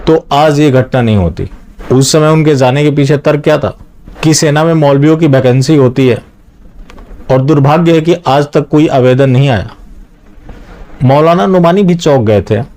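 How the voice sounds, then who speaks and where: A man's voice: medium pitch, mellow. A man delivering opinionated political news commentary straight to viewers, often posing rhetorical questions.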